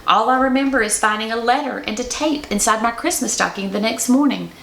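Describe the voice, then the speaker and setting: A kindly storybook grandmother, acted by a woman, reminiscing gently. The voice sits fairly high and carries clear echo from the room. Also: a little fast. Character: kindly grandmother telling her bedtime story. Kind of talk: children's storybook read-aloud.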